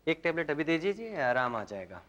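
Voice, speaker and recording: An actor playing a calm, jovial doctor who reassures a family, teases gently and slips English phrases into his Hindi. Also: on the high side, fairly quick, highs cut off, slight background noise. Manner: reassuring, lightly teasing, mixes English phrases. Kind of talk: 1990s Hindi film acting. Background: film score music.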